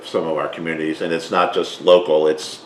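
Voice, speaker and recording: A man with a very low voice hosting an interview, relaxed and measured, filling pauses with ums. His voice bounces around an echoey room, with faint noise underneath. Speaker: podcast host.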